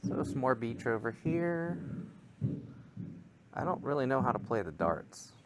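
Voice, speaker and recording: A man's voice: mid-range voice, big pitch swings, measured pace, background noise. A man giving casual gameplay commentary, talking to himself as he plays. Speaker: gamer.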